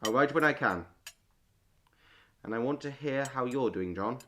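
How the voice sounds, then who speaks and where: A stern father in a wartime audio play, acted by a man, with a mid-pitched voice. He talks with curt authority, as the head of a farming household used to being obeyed. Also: lively intonation, moderate pace, a bit bright, slight background noise, close to an everyday voice. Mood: firm. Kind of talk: audio drama acting.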